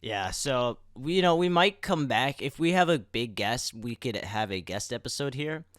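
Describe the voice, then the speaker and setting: A man's voice: mid-range voice, lively intonation, moderate pace, fairly bright tone, no echo, studio quality. A young man co-hosting a podcast in casual chat, now and then addressing listeners like an announcer. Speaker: podcast co-host.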